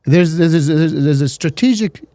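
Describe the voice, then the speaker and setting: A man's voice: on the high side, measured pace, mellow, no echo. A man co-hosting a talk show, arguing his opinions with emphasis and often repeating words as he builds a point. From America. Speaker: podcast co-host giving opinions. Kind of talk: casual debate chat.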